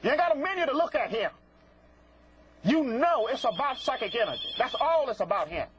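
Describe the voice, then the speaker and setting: A man's voice: very high voice, sing-song, fairly quick. A man with a sassy, scolding, theatrical manner and folksy colloquial speech, hosting a live call-in show and repeating catchphrases. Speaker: host of a live phone-in psychic show. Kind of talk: live call-in TV talk with prank callers. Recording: muffled lo-fi broadcast with clear noise, clicks.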